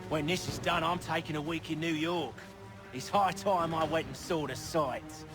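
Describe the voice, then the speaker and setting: An actor playing a cocky, carefree fighter in a video game, breezy and dismissive. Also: male voice, on the high side, normal speed, natural voice. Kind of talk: video game cutscene acting. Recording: loud music behind the voice.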